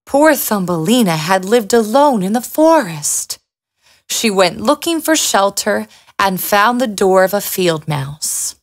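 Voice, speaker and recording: A woman's voice: medium pitch, sing-song, a little slow. A woman storyteller reading a scripted fairy tale for children.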